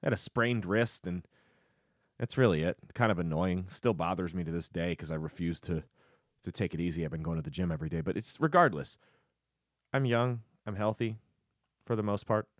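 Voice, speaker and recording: A man's voice: low voice, brisk, no room sound, studio quality. A man in his twenties hosting his own opinionated political commentary in a sarcastic, mocking way. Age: 20s.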